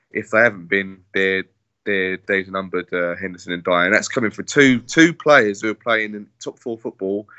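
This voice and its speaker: Rambling English co-host, muffled dry sound. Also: male voice, fairly low pitch, bright, forceful tone, no echo. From England. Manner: rambling, full of fillers and restarts. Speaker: podcast co-host. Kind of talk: casual football chat.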